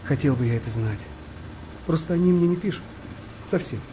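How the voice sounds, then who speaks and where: An actor playing a kind, weary wartime sailor nearing fifty in a radio play, speaking gently and reflectively with a wry touch. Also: male voice, fairly high pitch, animated, a little fast, slight background noise, lightly acted. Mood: regretful. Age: middle-aged. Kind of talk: naturalistic radio play dialogue.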